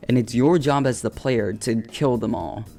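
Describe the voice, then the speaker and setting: Mellow-voiced man doing jokey video commentary. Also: mid-range voice, normal speed, vocal fry. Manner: flippant, slangy, jokey. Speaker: video essayist. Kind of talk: casual scripted comedic commentary. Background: upbeat video game music.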